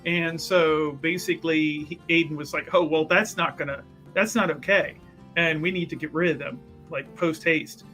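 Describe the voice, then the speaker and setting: A man playing a tabletop roleplaying game, explaining his character's doings in a halting, rambling way full of fillers and restarts. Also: on the high side, fairly even intonation, pushed and bright, faint music behind. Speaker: player. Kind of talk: actual-play tabletop roleplay.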